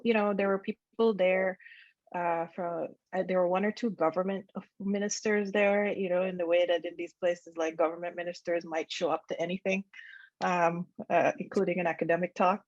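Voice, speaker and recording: A woman from Guyana speaks in a slightly low voice, answering questions after her lecture. She is thoughtful and pauses often, with many ums and restarts. The audio is dull and dry, as over a video call. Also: no room sound. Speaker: academic speaker.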